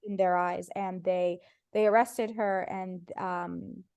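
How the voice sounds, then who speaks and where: A woman's voice: on the low side, fairly even intonation, slow pace, a little mellow, smooth, lo-fi sound. A woman comedian from Ohio, whose first language was Farsi, chatting casually as a podcast guest, telling stories with playful voiced quotes. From United States (Ohio).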